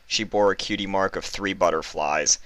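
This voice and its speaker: A man's voice: deep voice, steady tone, moderate pace, a bit bright, no echo. A man narrating a written fan story as its reader.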